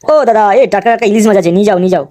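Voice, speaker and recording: A woman's voice: medium pitch, racing, dark tone, natural voice. A woman voicing a brash, foul-mouthed cartoon character who scolds and demands with crude sarcasm, over faint background noise. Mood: pushy. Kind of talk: comic cartoon dialogue.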